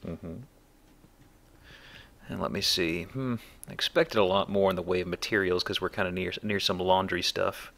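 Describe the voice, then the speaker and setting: A man's voice: low voice, fairly even intonation, moderate pace, gravelly, fairly bright tone. A man hosting a let's play with casual, folksy, rambling commentary on the game. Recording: commentary over faint game background noise.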